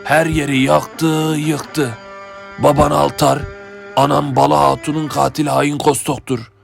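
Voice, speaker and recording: A man playing a loyal old retainer in an animated adventure, telling of the past in a gravelly, earnest, solemn voice. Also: a little high, a little slow. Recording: music behind the voice.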